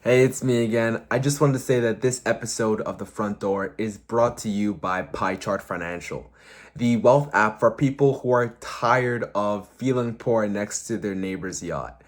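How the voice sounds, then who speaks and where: A man reading a tongue-in-cheek sponsor message for a podcast in a hesitant, deadpan way. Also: fairly low pitch, a little slow. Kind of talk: tongue-in-cheek scripted ad read.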